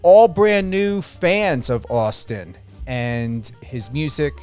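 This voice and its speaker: A man hosting a radio interview in an unscripted style, rephrasing his way through questions in a halting manner. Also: a little high, darkish tone, clean tone, faint music behind. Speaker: radio show host.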